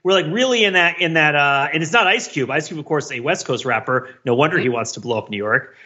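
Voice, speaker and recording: Man, forceful bright tone, highish pitch, animated, digressive podcast talk, slightly lo-fi recording. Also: fast talker. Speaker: podcast co-host. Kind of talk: casual pop culture discussion.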